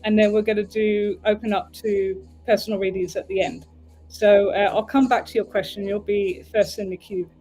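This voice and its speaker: A woman with an English accent chats casually on a livestream as a tarot reader, her voice sitting at an ordinary middle pitch. She talks in a loose, meandering way and keeps pausing to fix things on her stream, with faint music drifting in behind her. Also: level tone, mellow, low-quality recording. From United Kingdom. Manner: chatty, meandering, often breaks off to fix the stream.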